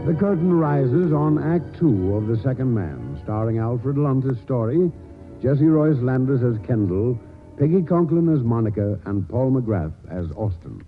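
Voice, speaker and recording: A man's voice: medium pitch, sing-song, measured pace, faint music behind. A man announcing a radio theater broadcast in a formal 1940s style. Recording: tinny, band-limited 1940s radio broadcast recording.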